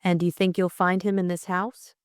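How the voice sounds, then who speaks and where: A brisk young society woman turned sleuth acted by a female reader, inquisitive and forthright. Her voice sits slightly low for a woman, pointed and wry, in a dry, close audiobook recording. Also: flat delivery, clean tone, dry recording, lightly acted. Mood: sceptical. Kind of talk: audiobook character acting.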